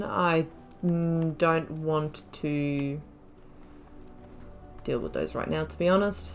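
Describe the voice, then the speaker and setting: A woman's voice: on the low side, slight background noise. A woman giving casual, rambling and reflective game commentary as she thinks aloud through a playthrough. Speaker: gaming video host.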